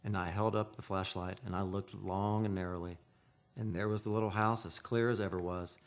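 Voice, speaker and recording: A man's voice: low voice, fairly even intonation, brisk. An actor playing an earnest, lovestruck man who narrates a haunted romance in poetic radio-play style. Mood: haunted, somber. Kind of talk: radio play acting and dramatic first-person narration. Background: music.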